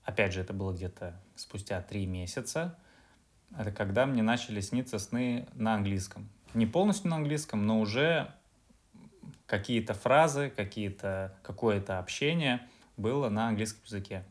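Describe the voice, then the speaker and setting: Man, low voice, measured, explanatory, podcast guest, casual interview.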